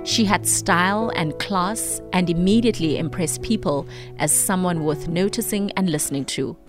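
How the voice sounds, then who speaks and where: A woman's voice: on the low side, normal speed, over music. A woman co-hosting a morning radio show, chatting casually and then reading a book passage aloud in a radio studio.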